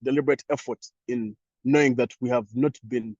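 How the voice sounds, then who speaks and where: Husky Ugandan man on a muffled call. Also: medium pitch, fairly even intonation, a little fast, darkish tone, dry recording. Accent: Ugandan English. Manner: thoughtful, many restarts and fillers. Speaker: panelist. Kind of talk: panel discussion answer.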